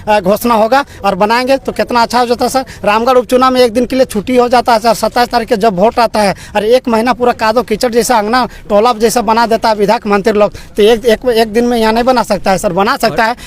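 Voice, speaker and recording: Casting: young male student protester, Jharkhand accent, very high-pitched voice, impassioned and pleading delivery. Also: fairly even intonation, fast, few pauses. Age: young adult. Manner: aggrieved, pleading. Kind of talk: impassioned street interview.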